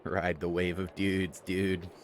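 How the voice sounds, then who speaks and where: Very low-voiced teasing man. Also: little pitch movement, slow pace, slight background noise. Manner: teasing, laughs often. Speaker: co-host watching the stream. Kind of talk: casual commentary.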